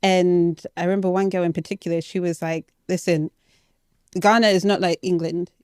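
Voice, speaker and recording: A woman's voice: on the low side, little pitch movement, normal speed, a little mellow, smooth, no room sound, clean studio sound. A woman interviewer speaking conversationally, putting informal, loosely phrased questions to her guest.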